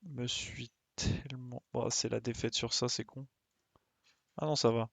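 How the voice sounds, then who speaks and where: A man's voice: medium pitch, fairly even intonation, measured pace, vocal fry, husky, no echo. A man giving casual live game commentary, muttering his reactions to himself. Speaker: game streamer.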